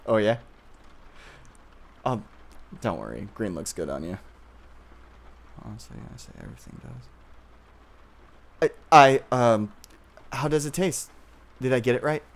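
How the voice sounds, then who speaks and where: Low-voiced, halting obsessive boyfriend played by a man. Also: gravelly, a little hiss or hum, full character voice. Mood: bashful, tender. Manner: soft, halting, hesitant with nervous pauses. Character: obsessive yandere boyfriend, childhood friend, tender turning possessive. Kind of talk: intimate ASMR boyfriend roleplay.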